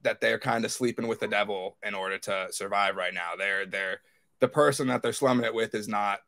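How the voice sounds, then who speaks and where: Man with a gravelly, creaky voice. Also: on the low side, fairly even intonation, brisk, bright, forceful tone. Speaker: podcast co-host. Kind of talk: casual fan discussion of TV episodes.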